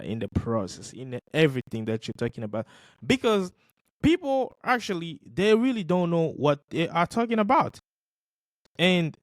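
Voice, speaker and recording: A man whose first language is not English hosts a podcast and comments on a video clip. He is animated and emphatic, repeating key words to drive them home. The sound is dry and close, with no room echo. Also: on the high side. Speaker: podcast host reacting to clips. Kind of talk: casual commentary.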